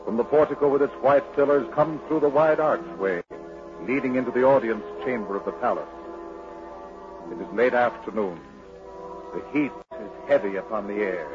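A man delivers scripted, poetic narration for an old radio drama. His mid-pitched voice is measured and dramatic, with faint music behind it and a dull vintage sound.

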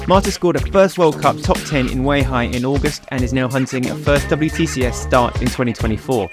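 Podcast host, mid-pitched voice, smooth scripted intro read with clear music underneath.

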